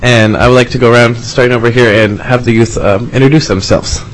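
A man's voice: medium pitch, fairly even intonation, fast talker, slight background noise, rough recording. A man from Alaska who supports a youth program, speaking at a committee hearing in a rambling way with many restarts. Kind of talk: testimony. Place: legislative committee room.

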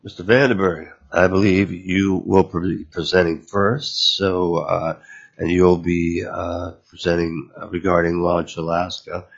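A man chairing a committee hearing, reading procedure in a formal, halting way with frequent uhs. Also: low-pitched, little pitch movement, gravelly, slightly rough, lo-fi sound.

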